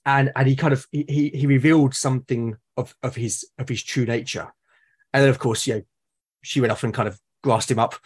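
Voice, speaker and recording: A man with a British accent speaks as a guest in a relaxed podcast conversation. His voice is mid-pitched and a little rough. He phrases things thoughtfully and haltingly, building each point step by step. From Britain. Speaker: podcast guest, game master and podcast creator. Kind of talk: casual interview conversation.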